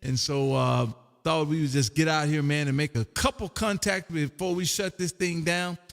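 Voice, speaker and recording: High-pitched exuberant man in long reverb. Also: normal speed, vocal fry, soft background music. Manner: exuberant, folksy, chatty. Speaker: amateur radio livestream host and operator. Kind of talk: casual ham radio chat. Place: radio room at home, livestreamed.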